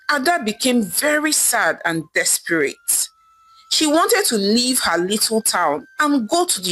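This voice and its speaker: A woman narrating a scripted folktale in a dramatic, expressive storytelling manner. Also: a little high, rough recording. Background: background music.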